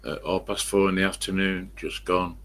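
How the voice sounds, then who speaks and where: An elderly English man with a croaky smoker's voice, rambling casually to viewers on a live stream and answering his own questions. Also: sits low, steady tone, normal speed, a bit bright. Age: 70s or older. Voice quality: croaky, husky smoker's voice with a cough.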